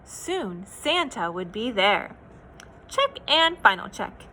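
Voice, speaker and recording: A woman reading a children's picture book aloud in an expressive, playful, sing-song way, with asides to young listeners. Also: mid-range voice, takes it slowly, rough recording. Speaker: children's read-aloud storyteller.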